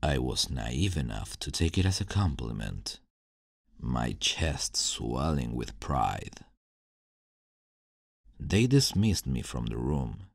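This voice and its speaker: Man acting a very deep, brooding supervillain. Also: gravelly, a little mellow, husky, no room sound, studio quality, full character voice. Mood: bitter, wistful. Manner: brooding, deliberate, theatrical storytelling. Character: embittered male scientist turned supervillain, invulnerable, telling his past. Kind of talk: dramatic ASMR roleplay monologue.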